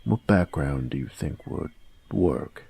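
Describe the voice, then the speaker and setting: A man playing a gruff young bully in an audio roleplay, husky and gravelly, talking in halting slang with feigned indifference. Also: a bass voice, expressive, warm tone, full character voice. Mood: casual, curious. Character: gruff young male bully, secretly curious about nerdy games. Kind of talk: intimate one-sided audio roleplay, casual dialogue.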